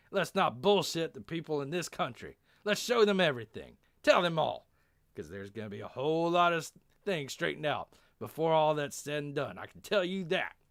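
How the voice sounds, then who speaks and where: A man playing an earnest army lieutenant, speaking with a Texan accent in a forceful, folksy speechifying way. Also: a little high, dry recording, studio quality, voice pushed up.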